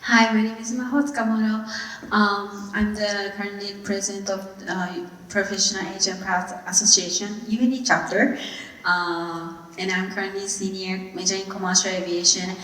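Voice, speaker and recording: Young woman on a panel, hesitant, bright voice, far off in an echoey hall. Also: mid-range voice, steady tone, moderate pace, a little hiss or hum. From Japan. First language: Japanese. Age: young adult. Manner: hesitant, many fillers and restarts. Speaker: student panelist. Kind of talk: panel discussion. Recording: distant mic in echoey hall.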